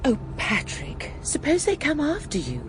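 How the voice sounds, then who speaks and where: An actress playing a spirited, worried British companion to a detective in a radio drama. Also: female voice, sits high, wildly swooping pitch, moderate pace, slight background noise.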